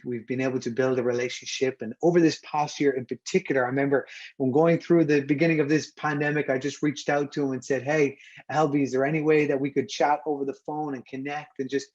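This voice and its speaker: A man's voice: medium pitch, darkish tone. Irish man hosting, slightly dull audio. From Ireland. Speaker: podcast host, pastor. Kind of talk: conversational podcast interview.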